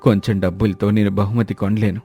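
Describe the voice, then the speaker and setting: A man plays a humble, worried uncle in a moral story. His voice is lowish and very mellow, subdued and polite, over faint music. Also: level tone, brisk, husky. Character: humble, worried poor uncle, proud and kindly.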